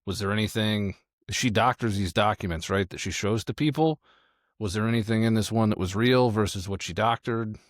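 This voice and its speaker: A man's voice: lowish voice, a little fast, no room sound, studio quality. A man playing a tabletop roleplaying game, thinking aloud and describing actions in casual chat.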